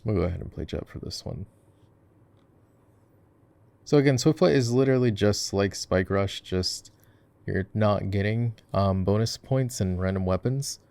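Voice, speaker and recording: A man acts out an easygoing gamer boyfriend with a fairly deep voice full of vocal fry. He chats casually and affectionately, teasing his partner as he coaches in a roleplay over gameplay. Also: normal speed, long pauses, a little mellow, dry recording. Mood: easygoing, explaining. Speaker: voice actor. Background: keyboard typing and mouse clicking.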